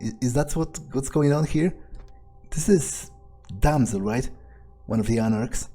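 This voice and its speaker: A man's voice: mid-range voice, measured pace, dark tone, faint music behind, lightly acted. A man voicing a cynical, suspicious vampire in an in-character game roleplay, musing hesitantly and swearing freely. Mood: startled, contemptuous. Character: cynical, suspicious, foul-mouthed young male vampire, thinking aloud.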